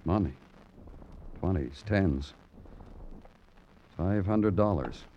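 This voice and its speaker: An actor playing a tough, dry police detective who questions in short, clipped lines, in old-time radio crime drama style. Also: male voice, deep voice, very slow, warm tone, muffled recording. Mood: cool. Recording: noisy vintage radio recording.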